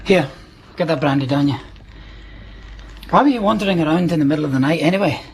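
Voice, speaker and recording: A man playing a gruff, practical but caring rural man in a radio drama, brusque in manner. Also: fairly high pitch, fast talker, natural voice. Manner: brusque, urgent instructions and blunt questions. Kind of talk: naturalistic radio drama acting. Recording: radio drama with loud background noise.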